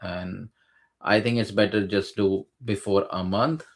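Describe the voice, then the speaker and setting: Man, lower register, hesitant conversational explainer. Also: steady tone, measured pace. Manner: hesitant, many fillers and restarts. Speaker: guest sharing exam experience.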